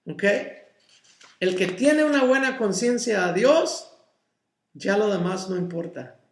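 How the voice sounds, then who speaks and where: A male pastor teaching a Bible study sermon, a native English speaker, deliberate and often checking in with "ok?" and slipping in English words. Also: high voice, animated, roomy sound. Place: church.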